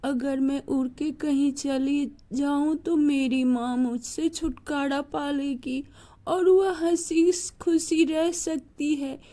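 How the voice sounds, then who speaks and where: Woman voicing a sad high-pitched little boy bird. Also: fairly even intonation, slow pace, dark tone. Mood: sad, brooding. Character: injured young male bird child, sad and self-sacrificing.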